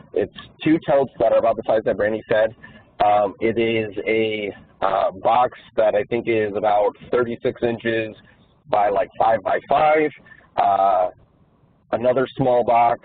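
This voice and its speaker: Husky man explaining over a phone line. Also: lowish voice, no room sound. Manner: blunt, plain-spoken. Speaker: conference call co-host. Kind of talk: informal explanatory briefing. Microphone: telephone. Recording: muffled, narrow phone conference line.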